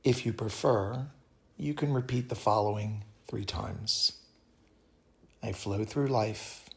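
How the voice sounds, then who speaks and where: A man guides a meditation in a low voice with a creaky edge and a bright, crisp-edged tone. His delivery is calm and soothing. He speaks in short, measured phrases and leaves space between them. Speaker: yoga teacher guiding meditation.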